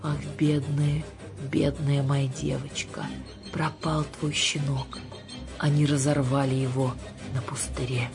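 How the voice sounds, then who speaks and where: Женщина изображает властную, хитрую ведьму-гадалку. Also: female voice, medium pitch, moderate pace, music in the background, voice pushed up, lightly acted. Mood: mysterious. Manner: brusque, mysterious, colloquial. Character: bossy, cunning witch fortune-teller woman, gruff and theatrical.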